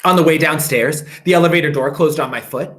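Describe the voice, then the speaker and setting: A man, a teacher, reading a children's picture book aloud with expressive, drawn-out emphasis on repeated phrases. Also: a little high, slight background noise.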